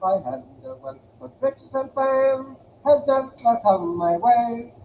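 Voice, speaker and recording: A man singing a slow love song. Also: very high voice, big pitch swings, a little hiss or hum. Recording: phone-like, echoey old song recording.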